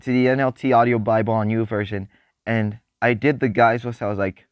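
Excited teenage boy, halting restarts. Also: dry recording, clean studio sound. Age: teens. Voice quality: voice newly deepened. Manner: rambling, halting restarts, laughs often; excited, happy. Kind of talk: casual faith vlog.

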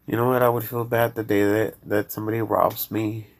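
Mexican-accented male host, casual rambler, low gravelly voice, chats straight to his listeners. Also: little pitch movement, a little fast. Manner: rambling, addresses listeners directly. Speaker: podcast host. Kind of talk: casual chat.

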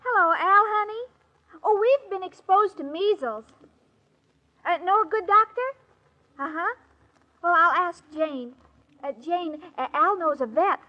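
A woman's voice: extremely high pitch, measured pace, long pauses. An actress playing a dim-witted, sweet young woman with childlike earnestness in a 1940s radio comedy. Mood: naive, sweet. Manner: childlike, earnest, deadpan innocence. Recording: muffled old radio recording, clear hiss.

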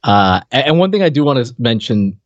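A man co-hosting a podcast, chatting casually and animatedly with halting repeats, on slightly muffled audio. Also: mid-range voice, sing-song, no echo. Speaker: podcast co-host. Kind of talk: casual chat about a comedy show. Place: recording on camera for a video podcast. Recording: dull, close, dry audio.

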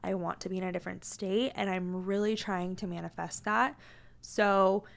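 A woman's voice: mid-range voice, fairly even intonation, moderate pace, vocal fry. A woman in her twenties from Washington State, hosting a solo podcast in a frank, chatty way. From Washington State, USA. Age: 20s. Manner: frank, chatty, heavy use of "like". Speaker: podcast host. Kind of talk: casual solo podcast chat.

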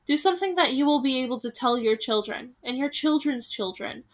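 A determined young princess calls out to her people, as a woman reads her lines. Her voice runs fairly high and earnest. It comes from a storybook read aloud. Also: expressive, a little fast. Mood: desperate. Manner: earnest, calling out.

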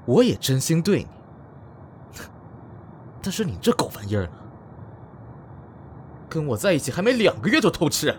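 Sharp-tongued young star, very animated. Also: male voice, fairly high pitch, moderate pace, pauses often, a little hiss or hum. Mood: cold, defiant. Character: sharp-tongued, proud young male entertainment star, sarcastic and unyielding. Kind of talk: Chinese audio drama acting.

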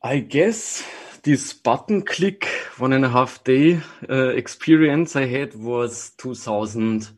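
A man's voice: mid-range voice, slow. A man, a trainer and consultant, talking casually as a podcast guest and thinking aloud with restarts. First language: German. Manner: relaxed, thinking aloud with restarts and small laughs.

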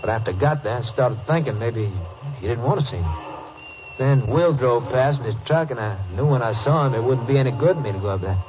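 An actor playing a wary, defiant young cowhand on parole in a 1950s radio drama, guarded and clipped. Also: male voice, medium pitch, lively intonation, brisk, a crowd in the background, lightly acted. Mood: guarded, uneasy. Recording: muffled, slightly noisy old radio recording.

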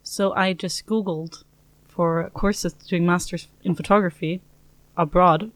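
A young woman from Norway, a student whose first language is Norwegian, answering interview questions in a casual, relaxed way. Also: on the low side, a little slow, no room sound. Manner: casual, relaxed, laughs easily. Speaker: master's student.

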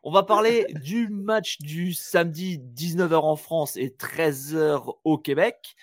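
A man co-hosting a casual sports podcast, animated and teasing, firing off quick questions. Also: on the high side, dry recording, studio quality. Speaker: podcast co-host.

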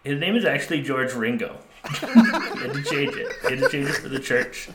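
A man co-hosting a comedy podcast, joking around and doing deadpan bits. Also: medium pitch, normal speed, roomy sound, heavy background noise. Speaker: podcast co-host. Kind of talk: casual comedic podcast chat.

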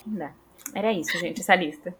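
Fast-talking Brazilian woman podcast host. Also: lowish voice, a bit bright. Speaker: podcast host, trained journalist. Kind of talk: casual chat.

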